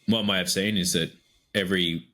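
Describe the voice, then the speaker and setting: An Australian man with a low-pitched voice co-hosts a podcast in easygoing chat. His tone is bright and forward, and he rambles a bit with lots of fillers as he talks. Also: steady tone, normal speed. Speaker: podcast co-host.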